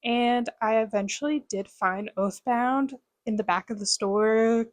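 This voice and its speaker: A woman's voice: fairly high pitch, unhurried, dry recording. A young woman chatting casually as a book vlogger, rambling with lots of fillers. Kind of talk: casual chat to camera.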